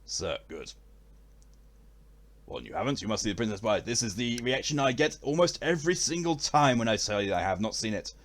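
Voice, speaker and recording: A man's voice: mid-range voice, expressive, fast talker, hardly any pauses, a bit bright. A man chatting on a live game stream, with formal wording and a theatrical way of talking.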